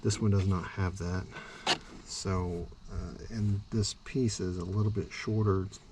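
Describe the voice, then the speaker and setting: A man casually walking through a vehicle install outdoors, relaxed and practical, with lots of fillers and restarts. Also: low-pitched, unhurried, background noise. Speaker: vlogger. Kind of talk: casual how-to walkthrough.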